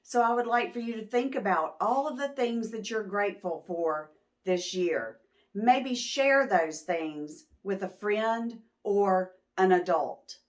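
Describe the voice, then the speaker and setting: Slow-paced woman reading to preschoolers. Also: mid-range voice. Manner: questioning, explaining simply to children. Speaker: children's storyteller, channel host. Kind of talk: picture-book read-aloud, talking to preschoolers.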